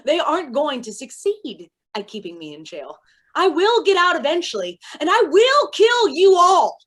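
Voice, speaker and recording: Woman playing a high-pitched, volatile villainess. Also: big pitch swings, moderate pace, fairly bright tone. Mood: menacing. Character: ruthless, bitter female crime boss villain. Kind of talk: staged play reading.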